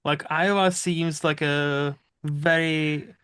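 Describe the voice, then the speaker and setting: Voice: medium pitch, steady tone, fairly bright tone, smooth, dry recording. A podcast host, talking in a loose, chatty way that keeps wandering off on tangents. The voice sits in a middling register. It is recorded close and dry, with almost no room sound. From Czech Republic. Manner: chatty, digressive, quick to laugh. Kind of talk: casual chat.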